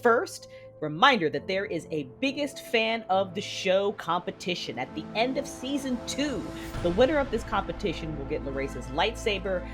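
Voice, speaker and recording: A woman's voice: medium pitch, very animated, normal speed. A woman hosting an audio drama podcast, reading announcements and credits in a chatty, inviting way. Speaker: host and producer of an audio drama. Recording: music behind the voice.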